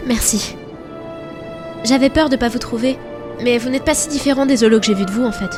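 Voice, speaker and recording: A woman playing a determined teenage girl with a fairly high, earnest voice and an urgent, pleading manner. She performs in a science-fiction audio drama with loud music behind her. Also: fairly quick, close to an everyday voice. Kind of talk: sci-fi audio drama dialogue.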